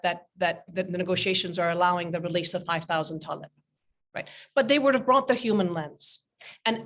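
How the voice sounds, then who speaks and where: A woman's voice: medium pitch, fairly bright tone. A woman speaking emphatically and indignantly on a panel over a video call, repeating words for force. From Britain. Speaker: panel speaker, head of a peace-building organization. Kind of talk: impassioned panel talk. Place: indoor room with framed pictures, on a video call.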